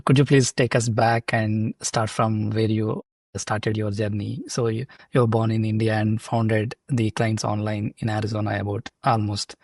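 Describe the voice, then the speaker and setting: A man's voice: on the low side, level tone, a little mellow, no room sound. A man hosting an interview show, asking polite questions and filling with "yeah" and "really". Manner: polite, repeats "yeah" and "really", slightly halting. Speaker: podcast host.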